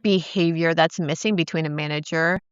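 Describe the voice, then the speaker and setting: A woman's voice: low voice, steady tone, moderate pace, clear, resonant tone, no echo, highs cut off. A woman co-hosting a podcast, talking earnestly in casual conversation, often asking "right?" and repeating words as she thinks. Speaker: podcast co-host.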